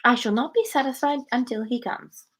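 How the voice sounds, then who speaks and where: A woman voicing a genteel, strong-willed young gentlewoman in a storybook reading, with formal, measured phrasing. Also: fairly high pitch, close to an everyday voice. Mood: coolly stubborn.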